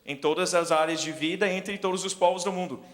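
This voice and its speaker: High-voiced American man preaching in a reverberant hall. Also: fairly even intonation, a bit bright. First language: English. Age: 40s. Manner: self-deprecating, halting search for words. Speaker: preacher, missions speaker. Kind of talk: sermon with personal storytelling. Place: large indoor hall, speaking to an audience.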